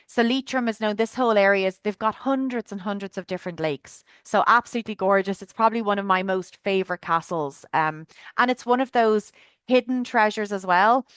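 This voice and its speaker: A woman's voice: mid-range voice, brisk, fairly bright tone, smooth, dry recording. An Irish woman chattily presenting a trip on a video call webinar, enthusiastic with lots of fillers. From Ireland. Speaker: tour guide presenting a trip.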